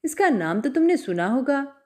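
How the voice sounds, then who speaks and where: A woman narrator voicing a proud old father who speaks in a sharp, mocking tone in a story. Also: high voice, very animated, moderate pace.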